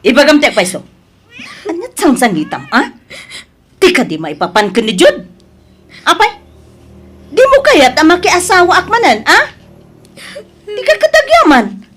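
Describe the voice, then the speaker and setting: A woman's voice: sits high, wildly swooping pitch, measured pace, long pauses, fairly bright tone, full character voice. A woman playing an emotive middle-aged mother in a melodramatic audio drama, exclaiming as she talks. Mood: scolding. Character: middle-aged mother, doting on suitor, scolding daughter.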